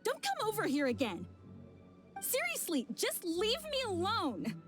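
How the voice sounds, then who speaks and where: A woman's voice: very high-pitched, wildly swooping pitch, unhurried, heavily acted. A woman voicing a petulant, exasperated young girlfriend in a video game, huffy and indignant. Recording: game audio with clear background music.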